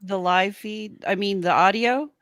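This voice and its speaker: A woman's voice: medium pitch, a little slow, a loud crowd. A woman co-hosting a podcast, chatting casually and informally, rambling along with a giggly streak. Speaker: podcast host. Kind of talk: casual live-stream chat.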